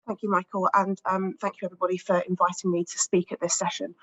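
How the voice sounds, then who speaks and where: A woman speaking on an expert panel, slightly croaky from a cold, giving a measured prepared statement with frequent ums and repeated words. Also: lowish voice, level tone, poor audio. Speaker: research director on an expert panel.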